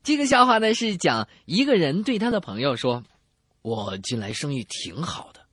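语调大起大落、略带沙哑的男搭档. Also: male voice, on the high side, sing-song, normal speed, a bit bright, husky, no room sound, clean studio sound. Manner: playful, acts out the jokes. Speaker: comedy radio show co-host. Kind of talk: joke-telling banter.

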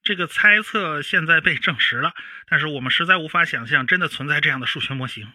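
A man playing an animated professor of spaceflight, explaining emphatically like a TV expert in a radio drama. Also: on the high side, fast talker, dry recording. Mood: explanatory, emphatic. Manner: didactic, emphatic, with homely particles. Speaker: spacecraft chief designer, expert guest.

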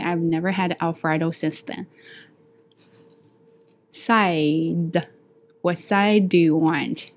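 Lower-voiced woman. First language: Chinese. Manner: animated, acts out both sides of dialogues. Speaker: vlogger sharing language-learning stories. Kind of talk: casual storytelling to camera.

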